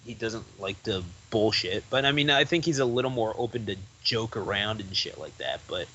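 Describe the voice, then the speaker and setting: A man's voice: fairly low pitch, animated, moderate pace, sharp tone, highs cut off, slight background noise. Rambling young man, casual chat. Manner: rambling, full of "like" and restarts. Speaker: podcast guest.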